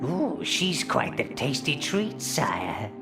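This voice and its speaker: An actor voicing a sly, fawning old gremlin minion in a video game, with a creaky voice and an obsequious, leering manner. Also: male voice, on the high side, very animated, slow pace, full character voice. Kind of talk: video game character acting. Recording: game music behind.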